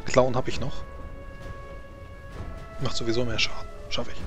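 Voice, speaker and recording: Male game master over loud music. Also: mid-range voice, little pitch movement, moderate pace. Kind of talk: narrating an online tabletop game. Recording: music playing behind the voice. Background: background music.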